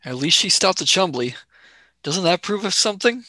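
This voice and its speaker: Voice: mid-range voice, lively intonation, moderate pace, pushed and bright, dry recording. An actor playing a brash, wry young male space adventurer in a radio play, casual and a little sarcastic. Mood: challenging.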